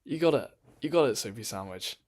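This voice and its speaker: A man's voice: mid-range voice, lively intonation, brisk, darkish tone. A man chatting casually on a live stream from his bedroom, rambling with lots of ums and restarts.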